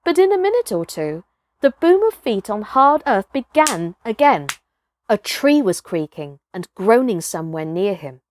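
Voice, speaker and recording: A woman narrating a children's storybook expressively, with dramatic, deliberate phrasing and strong vocal shading. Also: medium pitch, very animated, a little mellow. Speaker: storybook reader.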